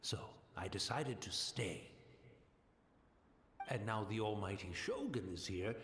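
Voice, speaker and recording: An actor playing a gentle, courteous blind old man who keeps a tea house, rambling in an old-fashioned way in a game cutscene. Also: fairly low pitch, slow, roomy sound, slight background noise. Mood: wistful. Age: older. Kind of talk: video game cutscene voice acting.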